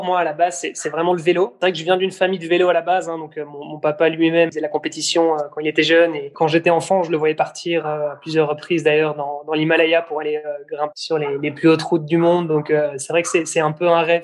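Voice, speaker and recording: A man's voice: a little high, flat delivery, quick pace. A man, answering interview questions hesitantly with many fillers and restarts. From Belgium. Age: 20s. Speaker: guest, brand founder.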